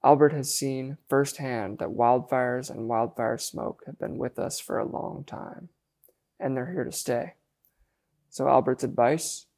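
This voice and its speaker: A man reading scripted news narration as a reporter, in a measured delivery. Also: mid-range voice, vocal fry, darkish tone.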